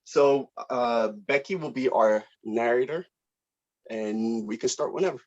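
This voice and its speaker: A man's voice: mid-range voice, unhurried. Hesitant man on a dull video call. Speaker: film director leading a table read. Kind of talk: casual informal meeting talk.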